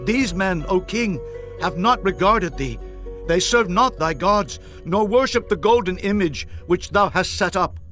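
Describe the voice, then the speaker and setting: An accusing royal courtier, addresses his king in a high voice. The speech is formal and declamatory, as in a scripture audio drama, with music clearly heard beneath it. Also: expressive, a little slow, fairly bright tone.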